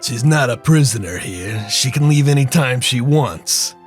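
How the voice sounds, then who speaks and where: A man playing a coldly threatening, businesslike crime boss in a noir radio drama, speaking formally and deliberately. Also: mid-range voice, expressive, faint music behind, close to an everyday voice. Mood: contemptuous.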